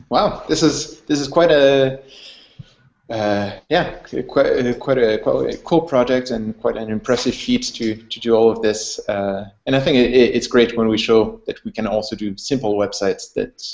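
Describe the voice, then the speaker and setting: Male host, informal and hesitant, mid-pitched. Roomy, dull recording. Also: moderate pace, darkish tone. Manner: hesitant, repeating words. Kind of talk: informal hosting of an online company talk. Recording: roomy, muffled online call audio.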